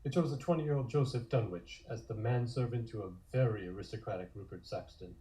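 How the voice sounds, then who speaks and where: A man's voice: fairly low pitch, brisk, dark tone, poor audio. A man narrating scripted scenes in the present tense for a comic table read.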